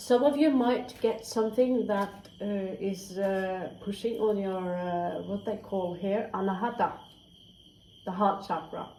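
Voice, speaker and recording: A woman's voice: medium pitch, fairly even intonation, measured pace, mellow, slight background noise. A woman giving a casual tarot reading, candid and chatty, swearing freely and dropping into whispered asides. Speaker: tarot reader. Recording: roomy echo, faint crickets.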